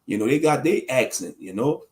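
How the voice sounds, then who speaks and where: A man's voice: medium pitch, lively intonation, a little fast, a little mellow. Male livestream commentator; loose, slangy, preachy ramble while reading the chat. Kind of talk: casual livestream talk answering chat.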